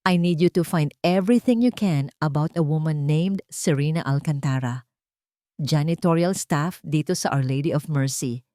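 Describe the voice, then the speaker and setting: Cold corporate boss read by a woman, curt and demanding, lowish voice, dry audio-story sound. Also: moderate pace, mellow, no room sound, lightly acted. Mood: curt, commanding. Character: cold, commanding young male corporate executive.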